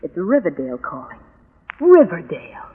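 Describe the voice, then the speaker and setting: A woman's voice: lowish voice, wildly swooping pitch, moderate pace, a little hiss or hum. Intense, lovelorn woman, old radio. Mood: anxious, polite. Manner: intense, fervent, brooding, breaking into repeats. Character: obsessive, lovelorn, bitter woman convinced of her willpower. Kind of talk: 1940s radio drama acting. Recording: dull vintage 1940s radio recording.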